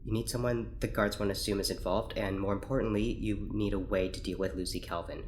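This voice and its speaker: Man playing a deep-voiced, guarded scientist. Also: steady tone, slight background noise, lightly acted. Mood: businesslike, serious. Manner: measured, formal, cryptic. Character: guarded, formal male scientist, secretive and guilt-ridden. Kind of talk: audio drama acting.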